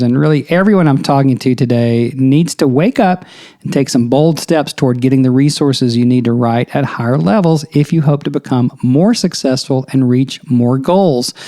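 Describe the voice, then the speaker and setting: A middle-aged man talks earnestly and urgently, pressing his listeners with rhetorical questions like a coach. His voice sits a little high for a man. It is mellow and warm in tone. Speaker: podcast host and songwriting coach. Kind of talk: motivational podcast monologue.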